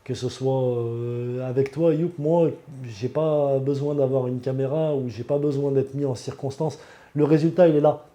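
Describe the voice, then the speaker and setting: A man's voice: medium pitch, fairly even intonation, moderate pace, warm tone. A man, giving an unscripted testimonial as a patient in an earnest, emphatic way. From Lyon, France. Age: 40s.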